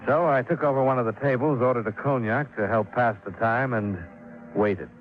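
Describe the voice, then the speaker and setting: An actor playing a terse, unflappable insurance investigator with an American accent, clipped and dry, in hard-boiled old radio style. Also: male voice, medium pitch, normal speed, lightly acted. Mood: dry narration. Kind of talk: hard-boiled 1950s radio drama acting and narration. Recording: muffled old radio recording, music behind.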